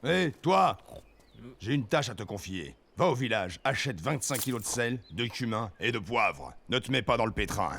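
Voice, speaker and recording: A bullying wolf monster foreman, with a thick creak in the voice. His pitch swings widely in this animated folktale, the temper that of an underling who lords it over those below. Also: normal speed, a little hiss or hum. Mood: curt, commanding. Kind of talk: animated film dub acting.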